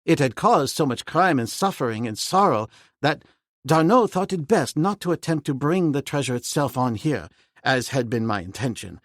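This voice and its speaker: A man reading an audiobook, voicing a calm, decisive young man raised in the jungle who speaks plainly. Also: medium pitch, normal speed, dry recording, studio quality, voice pushed up, natural voice. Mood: firm. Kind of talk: audiobook character voice.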